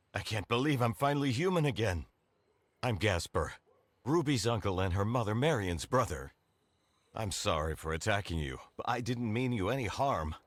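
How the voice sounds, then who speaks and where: An actor playing an earnest, grateful uncle in scripted fantasy game dialogue. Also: male voice, fairly low pitch, no room sound. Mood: relieved, apologetic. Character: grateful, earnest uncle freed from a fox curse.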